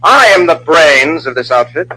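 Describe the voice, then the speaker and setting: A cocky American crime boss from a vintage radio drama. His voice is husky and fairly high, with sharp, animated delivery. The recording is old radio. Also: normal speed, full character voice, low-quality recording. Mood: menacing, gloating. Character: boastful, menacing American ex-quarterback turned crime boss. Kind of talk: old-time radio acting.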